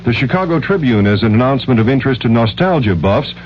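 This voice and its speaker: A man's voice: mid-range voice, lively intonation, quick pace. Muffled American male radio newsreader. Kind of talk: scripted 1970s news bulletin. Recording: muffled vintage radio, faint noise.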